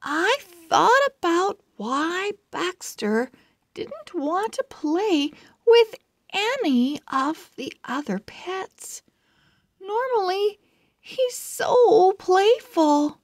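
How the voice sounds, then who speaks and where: A woman reading a children's story aloud with deliberate, dramatic phrasing. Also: extremely high pitch, very animated, dry recording, studio quality. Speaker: children's book read-aloud host. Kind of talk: expressive read-aloud narration for young children.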